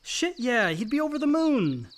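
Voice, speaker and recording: An actor playing a frank, hot-headed young younger brother in a naturalistic radio drama, casual and profane. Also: male voice, very high-pitched, very animated, normal speed. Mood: warm, casual.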